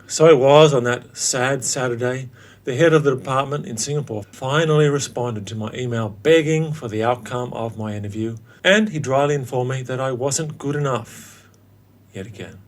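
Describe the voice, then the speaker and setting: A man in his late forties narrating his own story as a host, in a wry, theatrical way with dramatic pauses. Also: mid-range voice. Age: late 40s. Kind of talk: scripted personal narration.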